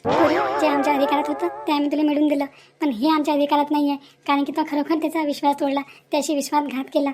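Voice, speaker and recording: Woman doing a cartoon village auntie, super high voice, talks quick, nagging n pleading, rural maharashtrian vidarbha accent. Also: level tone, a crowd in the background, close to an everyday voice. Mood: firm, regretful. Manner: insistent, exhorting, repetitive. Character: pleading, scolding motherly older village neighbour woman. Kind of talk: Marathi cartoon moral drama dialogue.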